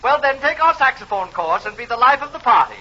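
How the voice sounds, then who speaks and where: An actor playing a hard-selling, hammy radio pitchman in a theatrical, peppy 1940s announcer parody. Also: sing-song, quick pace, bright, forceful tone, full character voice. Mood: persuasive. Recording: noisy, dull old radio recording.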